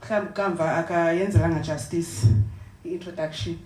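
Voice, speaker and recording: A woman's voice: on the low side, steady tone, moderate pace, darkish tone. A woman hosting a casual podcast panel, playfully switching between Xhosa and English and laughing often. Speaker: podcast host. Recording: echoey room, faint noise.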